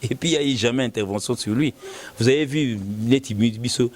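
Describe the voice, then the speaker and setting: A man's voice: medium pitch, a little fast. A man with a Congolese accent talking casually and giving opinions, often repeating words as the argument builds. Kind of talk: casual opinionated talk mixing French and Lingala.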